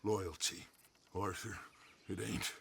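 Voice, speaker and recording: A man's voice: sits low, fairly even intonation, vocal fry, sharp tone, slight background noise, heavily acted. A man playing a proud, aging American outlaw gang leader in a video game drama, with a grandiose, theatrical way of talking. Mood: quiet, stern. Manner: theatrical, grandiose, measured drawl.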